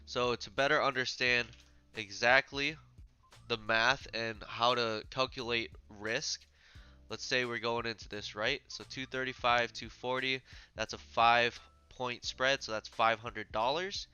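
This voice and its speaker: Bright, stuffy-nosed man explaining trades. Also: medium pitch, steady tone, unhurried, clear, resonant tone, no echo. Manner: casual, filler-heavy explaining with frequent "uh". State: stuffy, a little sick. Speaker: trading channel host. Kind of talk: screen-share trading tutorial.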